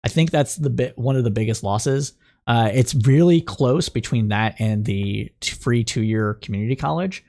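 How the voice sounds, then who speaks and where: A man of middle pitch hosting a political news podcast, his voice a little raspy. He talks the news through as he thinks, stopping for fillers and restarts, then moves into reading report excerpts aloud. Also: moderate pace, darkish tone. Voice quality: slightly raspy. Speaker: podcast host.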